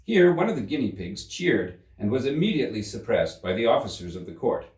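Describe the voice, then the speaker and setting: Male storybook narrator: highly animated, expressive scripted read, middle pitch, echoey room. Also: fairly quick. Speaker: storybook reader. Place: room in a museum. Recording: roomy, slightly distant microphone.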